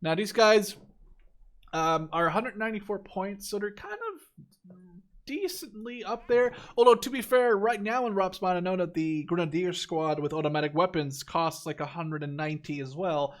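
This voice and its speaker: A man's voice: high voice, normal speed. A man in his twenties giving casual video game commentary in a rambling, conversational way, often correcting himself as he goes. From Netherlands. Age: 20s. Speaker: gaming video creator.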